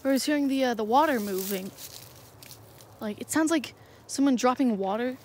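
A young woman with a fairly high voice wanders through a dark marsh at night, filming on her phone. She rambles and hesitates, filling her speech with little fillers. The phone recording carries plenty of outdoor noise. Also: moderate pace. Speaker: vlogger. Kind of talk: handheld night walk vlog. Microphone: phone held in hand.

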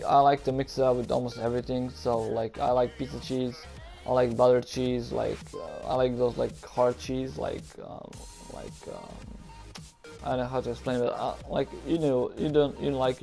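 A man's voice: mid-range voice, fairly even intonation, normal speed, gravelly, dark tone, faint music behind. A young man from Croatia with a Croatian accent, hosting a casual Q and A over game footage, hesitant and nervous with many ums and likes. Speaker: gaming YouTuber.

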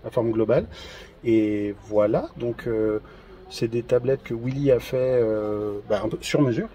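A man in his thirties presenting trophies outdoors in a garden, in a relaxed, hesitant casual chat with many fillers. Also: mid-range voice, measured pace, lo-fi sound. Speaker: event organizer presenting trophies.

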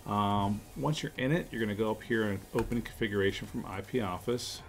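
A man hosting a casual software tutorial, explaining informally step by step. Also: lowish voice, fairly quick. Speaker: tutorial host, telecom technician.

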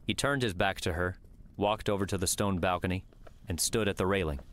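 A man's voice: sits low, a little fast, a bit bright, a little hiss or hum. A man narrating a scripted story in the third person as an audiobook reader.

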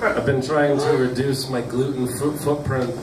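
A young man doing deadpan stand-up on a small club stage, pausing on many uh's between one-liners, picked up by a camera at the back of the room. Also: mid-range voice. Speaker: stand-up comedian. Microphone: stand mic, filmed from the back of the room. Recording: roomy distant club recording with loud crowd noise.

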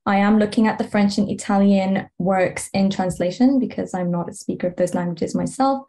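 A woman, a native Arabic speaker and doctoral student, answering interview questions thoughtfully with frequent pauses. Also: medium pitch, steady tone, dark tone. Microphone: video call mic.

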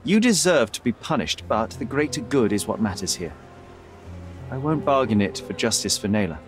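An actor playing a young detective in a video game, measured, dry and probing. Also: male voice, lowish voice, very animated, music in the background. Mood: stern. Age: young adult. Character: cool, wry young male detective. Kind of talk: video game cutscene acting.

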